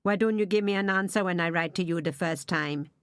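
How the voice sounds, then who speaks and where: A woman's voice: on the low side, brisk, no echo, clean studio sound, natural voice. A woman doing a bitter man. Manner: brooding, scornful, preacher-like. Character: bitter resentful middle-aged mixed-race man. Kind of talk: audiobook reading.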